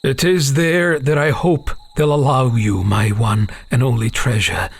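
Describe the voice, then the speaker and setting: A man voicing a tender, sorrowful father in a fantasy audiobook, speaking in a gentle, grave, protective way. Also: mid-range voice, fairly even intonation, a little slow, slightly rough.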